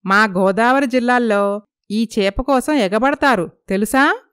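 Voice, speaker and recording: A woman's voice: fairly high pitch, measured pace, a bit bright, clear, resonant tone, dry recording, clean studio sound. A woman voicing a coaxing, resentful younger village sister-in-law in a moral story, with a complaining manner. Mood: wheedling.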